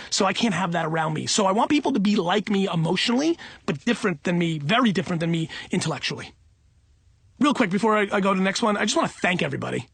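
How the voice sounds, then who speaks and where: A man's voice: sits high, fairly bright tone, slightly rough, dry recording. A middle-aged man talking off the cuff for an audiobook in an emphatic, self-assured, blunt and conversational way. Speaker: entrepreneur and company CEO reading own audiobook.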